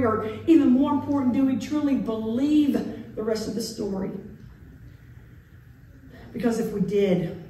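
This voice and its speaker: A middle-aged woman preaches from the pulpit, lively and playful, slipping in and out of dramatic voices. Her fairly high voice rings around a big echoing sanctuary. The camera sits well back in the pews. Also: slight background noise. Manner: animated, playful, acting out biblical figures with big swings. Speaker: pastor. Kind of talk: sermon. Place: church sanctuary with organ pipes. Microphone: far camera in the pews. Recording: echoey church from a distance.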